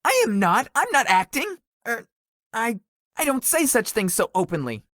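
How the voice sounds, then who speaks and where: A woman's voice: a little high, sing-song, moderate pace, a bit bright, no echo, studio quality. A woman voicing a shy, easily flustered, sweet young man in a visual novel, with a hesitant manner. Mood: flustered, embarrassed. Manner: hesitant, stammering when embarrassed. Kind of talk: visual novel character acting.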